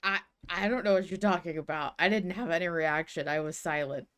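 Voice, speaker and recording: Woman with a low voice cohosting a fan podcast, thoughtful, kinda tentative, working it out as she talks. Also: fairly even intonation, brisk, a bit bright, smooth. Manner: thoughtful, tentative, thinking aloud. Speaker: podcast co-host. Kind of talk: casual fan discussion.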